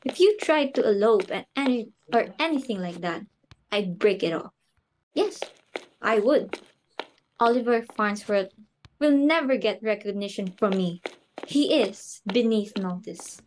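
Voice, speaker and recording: A woman's voice: medium pitch, animated, measured pace, darkish tone, a little hiss or hum, natural voice, poor audio. A woman playing a flustered, snobbish society hostess and mother in an amateur dramatic reading, exclamatory and imperious. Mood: bitter, indignant.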